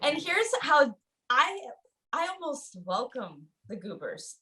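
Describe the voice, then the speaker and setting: A woman's voice: high voice, very animated, slow, bright, forceful tone. A woman co-hosting a casual literary discussion in an informal, slangy way.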